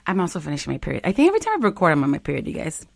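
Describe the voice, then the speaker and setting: A woman in her forties co-hosting a casual chat show, animated and playful, jumping into high quoted voices. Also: very fast, creaky. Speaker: podcast co-host.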